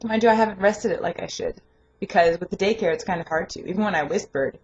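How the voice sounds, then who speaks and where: A young woman with a lingering hoarse voice, chatting casually and rambling in a home vlog, laughing often. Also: on the low side, fast, highs cut off, a little hiss or hum. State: hoarse, voice not fully recovered. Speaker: vlogger and home daycare provider. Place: her home, indoors.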